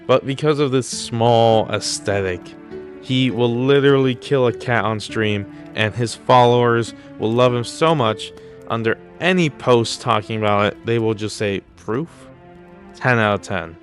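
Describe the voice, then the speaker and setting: A man's voice: medium pitch, a little slow, faint music behind. A man hosting casual comedic video commentary, mock-serious, blunt and crude with plenty of swearing. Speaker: YouTube host.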